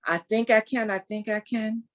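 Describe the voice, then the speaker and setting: A woman's voice: a little high, fairly even intonation, moderate pace. A woman in her sixties, a guest telling her story in an emphatic, faith-filled way. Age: 60s. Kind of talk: casual interview, testimony.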